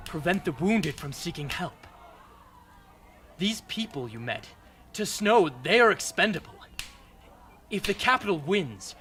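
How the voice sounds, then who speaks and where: A man's voice: fairly high pitch, pushed and bright, a little hiss or hum, full character voice. A man playing a tough, blunt young rebel fighter in an amateur radio drama.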